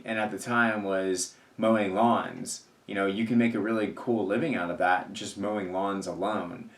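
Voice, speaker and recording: A man in his thirties vlogging casually, rambling with many fillers and restarts. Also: on the low side. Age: 30s. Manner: rambling, filler-heavy, repeats himself. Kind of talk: casual talk to camera. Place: indoors at home work space. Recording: roomy echo, faint music behind.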